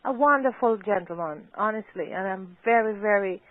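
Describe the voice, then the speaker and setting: A woman's voice: medium pitch, moderate pace, no echo. An older woman, a talkative singer who laughs often, chatting as a guest in a phone interview. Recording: tinny dry phone line.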